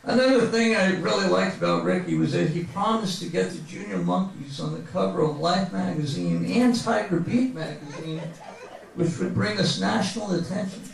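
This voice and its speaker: A man's voice: sits high, very animated, moderate pace, creaky. A man reading his humorous memoir aloud to a live audience as a comic, mock-grandiose storyteller, recorded from a distance in a very echoey room. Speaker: author reading from autobiography. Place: bookstore reading event before an audience.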